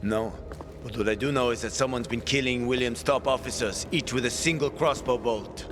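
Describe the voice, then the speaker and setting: A man's voice: mid-range voice, normal speed, close to an everyday voice. An actor playing a cynical, bitter man cornered in a zombie apocalypse, curt and sardonic, in a video game cutscene. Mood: sardonic, guarded. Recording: music under the voice.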